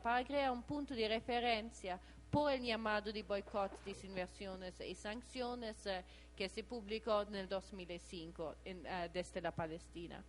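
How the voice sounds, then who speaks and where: A woman's voice: mid-range voice, a little hiss or hum, poor audio. A woman from Italy speaking with an Italian accent, giving a public speech at an assembly as an activist, with frequent hesitations and restarts. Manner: hesitant, many filler sounds and restarts.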